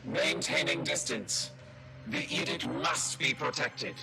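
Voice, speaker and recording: Dutiful tech adept, creaky, mid-pitched, clipped formal delivery, cold, game cutscene, loud music. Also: male voice, lively intonation, slow. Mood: cold, warning. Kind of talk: dramatic video game cutscene acting.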